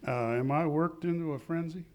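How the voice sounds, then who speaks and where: Pompous family man played by an actor, slightly high male voice, wordy condescension, old-time radio comedy. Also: normal speed, lightly acted. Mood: self-important, evasive. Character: pompous, haughty small-town husband and father. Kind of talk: 1940s radio comedy acting, live on stage. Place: live theatre stage.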